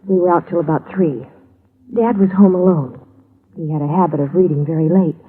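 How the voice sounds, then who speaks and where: Through a tinny, narrow old radio line comes a young woman in mourning. Her voice is husky, and she speaks earnestly and politely, with a measured, subdued air. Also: lowish voice. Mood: somber recounting. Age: young adult. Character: grieving, polite young woman, murdered man's daughter. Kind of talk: 1950s radio drama acting.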